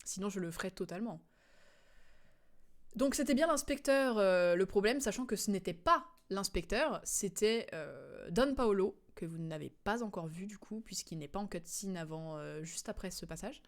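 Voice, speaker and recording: A woman's voice: medium pitch, animated, normal speed. A woman streamer giving casual game commentary, explaining the story in a hesitant, digressive way.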